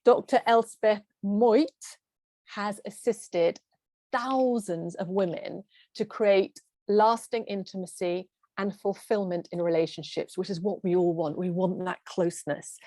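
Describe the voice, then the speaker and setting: A woman hosting an online summit, introducing her guest with enthusiasm and asking warm, effusive questions. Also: medium pitch, no echo. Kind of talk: hosted online interview.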